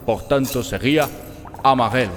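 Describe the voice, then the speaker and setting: A man's voice: medium pitch, moderate pace, a bit bright, slight background noise, lightly acted. Man playing German-accented eccentric scientist in reverberant hall. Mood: patient explaining. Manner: didactic, peppers speech with German words. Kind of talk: scripted radio drama dialogue. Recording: long hall reverb, music bed.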